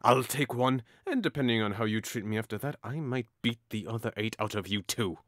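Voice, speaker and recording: A man's voice: medium pitch, a little fast, a bit bright, dry recording, studio quality, lightly acted. A man playing a hot-headed, mocking young nobleman in an audio drama, with a theatrical, taunting delivery. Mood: angry, taunting.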